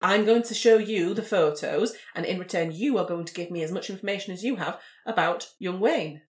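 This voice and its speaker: A woman's voice: lowish voice, brisk, natural voice. A woman voicing a genteel, sly lady investigator in an improvised role-play, polite, arch and mock-innocent. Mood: politely scheming. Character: genteel, sly female amateur investigator and newspaper writer. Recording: roomy, echoing room sound.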